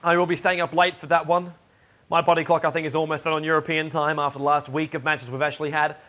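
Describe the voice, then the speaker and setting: A young man with a raspy voice, chatting and joking as an esports shoutcaster from his home studio. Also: on the high side, level tone, fast talker. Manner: chatty and joking, with many fillers. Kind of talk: casual solo commentary during a game pause.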